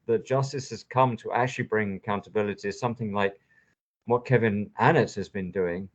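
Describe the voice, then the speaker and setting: A man's voice: fairly low pitch, brisk. A man hosting a conversational interview, giving emphatic, impassioned opinions. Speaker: podcast host. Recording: dull lo-fi audio.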